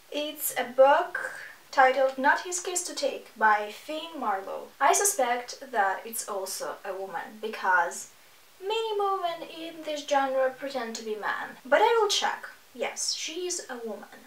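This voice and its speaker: Woman, roomy distant recording, slightly high voice, sarcastic, exasperated, casual reaction commentary. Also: lively intonation, measured pace. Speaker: book reviewer video host. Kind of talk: casual reaction commentary, reading reviews aloud. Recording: echoey room, distant mic.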